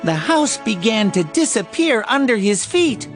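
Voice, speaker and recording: A woman reads a rhyming story at a slow, measured pace. Her pitch moves lively up and down around a middle register. Soft music plays faintly behind. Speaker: narrator. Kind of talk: scripted rhyming storybook narration.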